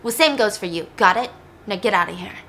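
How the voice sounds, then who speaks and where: A man's voice: high-pitched, animated, fairly quick, bright, forceful tone, slight background noise, heavily acted. A woman voicing a violent drunken father, demanding and menacing as she tells a story. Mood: stern. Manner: demanding, menacing, repeats himself.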